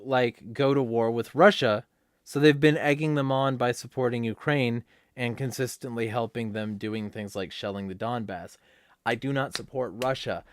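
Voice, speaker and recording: Male livestream host, low voice, profane rambling commentary, close dry mic. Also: no echo. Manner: profane, rambling, breaks into low, quiet, menacing voice. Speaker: livestream host reading chat. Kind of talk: casual livestream chat.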